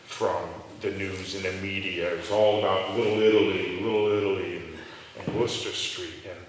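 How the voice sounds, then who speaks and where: A man's voice: steady tone, brisk. A middle-aged man, telling rambling stories with restarts during a live onstage interview. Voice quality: deep voice. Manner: rambling storytelling with restarts and laughs. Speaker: restaurant owner. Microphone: handheld mic that cut out, picked up from a distance. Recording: echoey hall from far off, faint noise.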